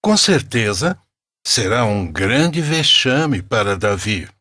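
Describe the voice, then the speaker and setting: A man's voice: fairly low pitch, very animated, slow pace, pushed and bright, no echo, clean studio sound. A man narrating a scripted religious story in a solemn storyteller's manner.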